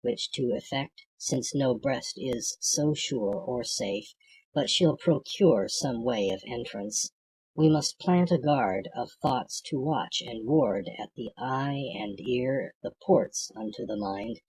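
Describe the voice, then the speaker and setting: An audiobook reader reciting poetry from a script in a measured, declamatory way, with a husky, gravelly voice. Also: medium pitch, very animated, darkish tone, poor audio.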